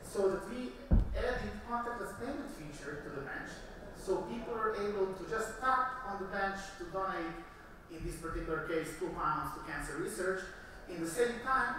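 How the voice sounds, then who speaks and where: A man in his thirties with a Serbian accent giving a business pitch at a conference, haltingly, often repeating words. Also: sharp tone. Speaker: startup founder giving a conference presentation. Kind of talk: public business pitch talk. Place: large indoor conference hall with seated audience. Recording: echoey hall, distant microphone, noisy.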